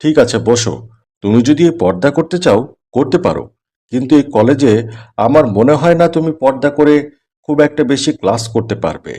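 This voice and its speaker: A disapproving college teacher from a moral-tale cartoon, acted by a man. He lectures condescendingly at a medium pitch, with lively rises and falls. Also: fairly quick, lightly acted.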